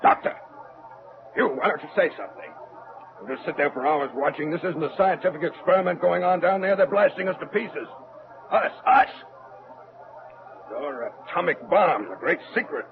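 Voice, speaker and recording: A man's voice: a little high, animated, normal speed, creaky, pauses often. An actor playing an agitated military man in an old-time radio drama, with an urgent, demanding, emotional delivery. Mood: angry, accusing. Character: agitated, accusing military man, younger than the scientist. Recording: tinny, band-limited old radio recording.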